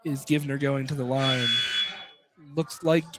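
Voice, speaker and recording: A man's voice: medium pitch, steady tone, a little slow. A man doing live play-by-play commentary of a college basketball game in an informal, conversational way. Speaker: play-by-play announcer. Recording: clearly noisy live radio broadcast.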